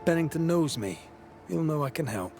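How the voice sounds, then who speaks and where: An earnest Scottish ghost hunter in a game cutscene. His voice is on the high side and swings widely as he talks, plain and eager. Faint music runs underneath. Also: lively intonation, moderate pace, darkish tone. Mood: determined. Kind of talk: video game cutscene acting.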